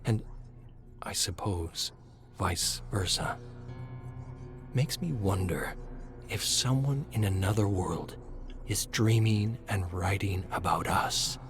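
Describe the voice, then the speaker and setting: A man reading scripted story narration in a measured, deliberate way. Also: low-pitched, over music.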